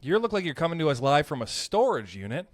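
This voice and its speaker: A man's voice: a little high, a little fast, no echo. A man co-hosting a podcast, chatting casually and teasing his co-host in a joking way. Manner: joking, teasing, lively delivery. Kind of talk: casual chat.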